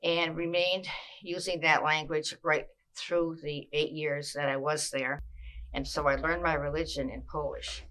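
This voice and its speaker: An older woman from Massachusetts, recalling her childhood in an oral history interview, with a measured pace and frequent pauses. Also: deep voice, steady tone, a bit bright, low-quality recording.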